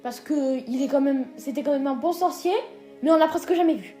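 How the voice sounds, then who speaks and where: A woman's voice: sits high, fairly quick, dark tone, faint music behind. A woman co-hosting a casual ranking video, teasing and joking with lots of hesitant fillers. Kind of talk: casual scripted chat.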